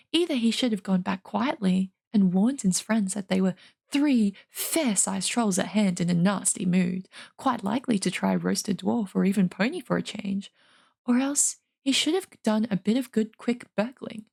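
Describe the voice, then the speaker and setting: A woman with a husky voice reading a fantasy novel aloud as dramatic storybook narration. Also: medium pitch, normal speed, darkish tone. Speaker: streamer reading an audiobook.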